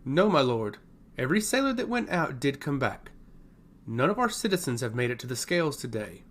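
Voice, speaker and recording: A man's voice: mid-range voice, big pitch swings, fairly quick. A man voicing a stoic, elderly female royal advisor in a fantasy story, formal and deferential. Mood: formal, grave.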